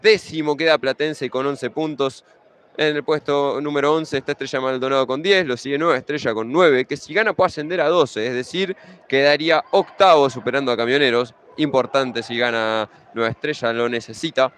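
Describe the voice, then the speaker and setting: A man's voice: medium pitch, brisk, fairly bright tone. A young man with an Argentine Spanish accent, giving pre-match futsal commentary in a conversational way, running through league standings and team lineups.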